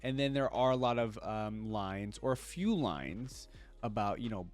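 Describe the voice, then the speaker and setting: A man's voice: on the low side, expressive, measured pace, soft background music. A man hosting a reaction video, giving casual commentary in an informal, musing way.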